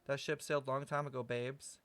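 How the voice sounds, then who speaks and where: A man's voice: mid-range voice, little pitch movement, a little fast, a bit bright, smooth, dry recording. A man streaming a game with casual live commentary, blunt, sweary and dramatic in a sassy way. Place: close, dry microphone on a live stream.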